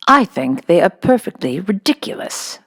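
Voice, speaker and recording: A woman voicing a stern, disapproving headmistress with a sharp, severe manner in a storybook. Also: on the low side, big pitch swings, normal speed, breathy edge, no echo, clean studio sound, voice pushed up, exaggerated voice.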